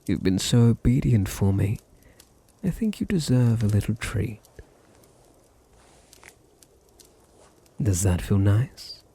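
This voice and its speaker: A dominant aristocratic vampire played by a man speaks in a low British voice, unhurried and husky. He purrs with possessive condescension, teasing his listener softly in an intimate ASMR roleplay. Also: animated, heavily acted. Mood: teasing, tender. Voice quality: deep, husky, gravelly.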